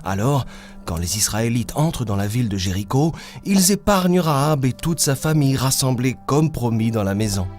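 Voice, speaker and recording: Patient grown-up cartoon storyteller played by a man, mid-pitched and very animated, with music underneath. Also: natural voice. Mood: gentle, expressive. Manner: expressive, measured storytelling. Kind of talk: children's Bible story narration. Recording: music behind the voice.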